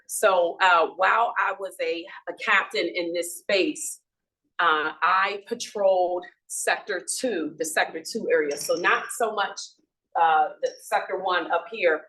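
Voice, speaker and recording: Low-voiced woman police commander, far off. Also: unhurried, bright, forceful tone. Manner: informal, chatty, with many restarts and fillers. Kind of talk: community meeting talk. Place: community meeting room. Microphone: no microphone, from across the room.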